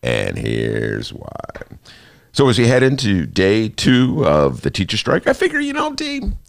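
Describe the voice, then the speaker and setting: Man, Chicago accent, podcast host, gravelly voice, very animated pitch, studio mic. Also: unhurried, no room sound. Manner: jokey, breaks into off-key singing, lots of uh fillers. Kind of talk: casual talk show chat. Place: radio studio.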